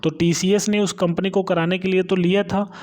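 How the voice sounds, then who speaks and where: A man speaks in a slightly high voice. He talks informally as a channel host, explaining exam updates point by point and checking in with listeners at the ends of phrases. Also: flat delivery, normal speed, a little mellow.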